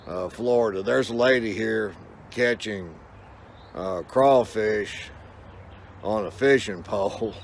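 An older man of about sixty with a gravelly voice, chatting casually and unhurriedly to his phone as he records a vlog outdoors. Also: on the low side, slow pace. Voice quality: gravelly, creaky. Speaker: vlogger. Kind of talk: casual rambling vlog talk to camera. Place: outdoors, in a yard or by water. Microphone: phone at arm's length. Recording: outdoor ambient noise and hum.

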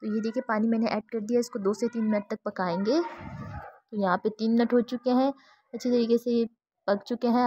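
A woman's voice: mid-range voice, dark tone, slightly rough, dry recording, highs cut off. A woman hosting a cooking tutorial, talking through each step in an easygoing, chatty way. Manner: easygoing, chatty, mixes English words into Hindi-Urdu. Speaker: cooking channel host.

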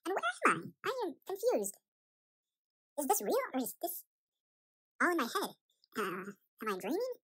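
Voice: high voice, wildly swooping pitch, normal speed, long pauses, sharp tone, heavily acted. A performer voicing a panicky, bewildered young chipmunk boy in a cartoon fan story, rambling and repeating themselves in an anxious, dramatic way. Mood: confused musing.

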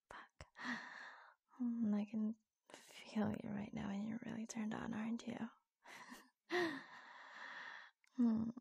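A woman playing a flirtatious, teasing office lover in an intimate ASMR roleplay, breathy and husky, often dropping to a whisper. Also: fairly high pitch, warm tone, full character voice. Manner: slow, coy, teasing, giggly. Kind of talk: ASMR roleplay, intimate romantic audio drama.

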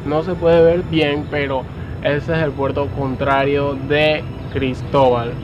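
A young adult man, presenting a vlog casually outdoors, pausing between short phrases. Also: fairly high pitch, level tone, muffled recording, background noise. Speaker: vlogger. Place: outdoors on a rooftop above a city.

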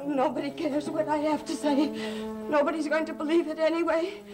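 A woman acting as a frightened, defiant young woman with a high, overwrought voice. She pleads breathlessly in old gothic soap style, with music playing behind her. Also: little pitch movement, a little fast, natural voice. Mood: anxious, pleading. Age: young adult. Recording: old TV soundtrack with background music.

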